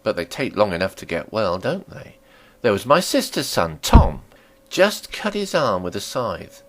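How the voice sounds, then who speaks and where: A man's voice: fairly low pitch, sing-song, a little slow, rough recording. A man voicing a chatty, nosy, deferential country landlady in a storybook reading, with folksy rustic talk that rambles and fusses.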